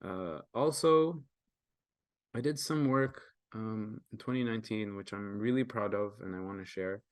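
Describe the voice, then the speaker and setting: A young man with a somewhat low voice, a native Arabic speaker from Kenya, walks through his creative work in an earnest, casual manner. He stops and restarts often and fills gaps with ums. The sound is dry and close, as on a video call. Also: measured pace, no echo. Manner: earnest, with frequent fillers and restarts. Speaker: guest artist presenting his work. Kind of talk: casual presentation.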